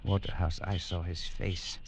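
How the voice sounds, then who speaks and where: An actor playing a frightened, defiant man haunted by a curse, halting and dramatic in old-time radio drama style. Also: low-pitched, flat delivery, background noise. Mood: shaken, hushed.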